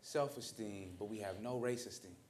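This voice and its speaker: Low-voiced American man, deliberate speaker. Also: a crowd in the background. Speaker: roundtable participant. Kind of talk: reflective social commentary. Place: indoor roundtable discussion at a museum.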